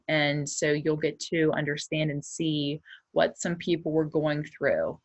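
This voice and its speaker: A woman with a low-pitched voice speaks as an elementary teacher, explaining and reading a children's story. She addresses pupils in simple, clear-cut terms. The recording is dry, with little room sound. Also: no echo.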